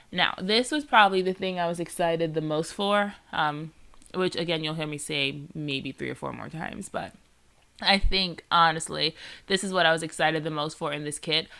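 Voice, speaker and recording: A woman's voice: on the low side, sharp tone. Nasal, congested woman chatting about crafts. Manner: chatty and rambling, often saying "like" and "um". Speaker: scrapbooking vlogger. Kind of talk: casual voice-over narrating a craft process.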